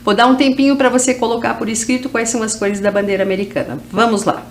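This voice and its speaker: A woman's voice: fairly high pitch, steady tone, slight background noise. A woman from Brazil with a Brazilian Portuguese accent, teaching English to teens in a video lesson and drawing out each word as she drills it. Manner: slow, drawn-out drilling of English words.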